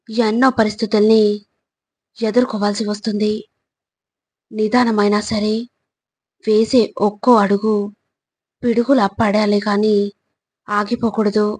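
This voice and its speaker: A woman reading scripted motivational quotes in short, deliberate phrases, with an instructive, exhorting manner. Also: medium pitch, darkish tone, husky, no room sound. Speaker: motivational narrator.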